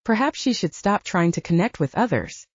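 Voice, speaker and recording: A woman voicing a composed middle-aged father and leader, measured and authoritative, in an audiobook. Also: mid-range voice, expressive, breathy edge, no room sound, lo-fi sound. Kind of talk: audiobook character dialogue.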